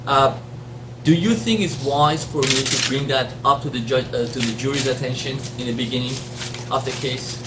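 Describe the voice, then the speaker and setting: A man's voice: mid-range voice, moderate pace, distant mic, noisy background. A man with a foreign accent, a litigator, earnestly asking a question from the audience. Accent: foreign accent, not native English.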